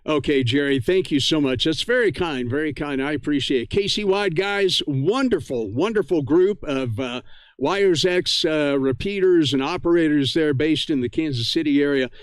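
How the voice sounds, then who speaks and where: A man's voice: a little high, normal speed, no echo. Guy running a ham radio net live, clean dry sound, super thankful and chatty, lots of uhs. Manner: friendly, effusive, thankful.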